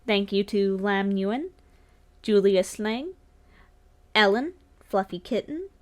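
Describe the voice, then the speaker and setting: A woman's voice: mid-range voice, expressive, takes it slowly. A woman narrating her own art video as a casual commentary, informal and lightly self-deprecating, with a joking touch. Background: music.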